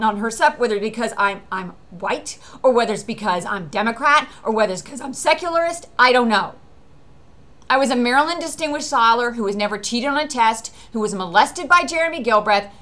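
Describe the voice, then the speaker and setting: A woman's voice: high voice, pushed and bright. An American woman giving an unscripted personal monologue in a rambling, aggrieved, insistent manner. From US East Coast. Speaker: actor recording a personal video.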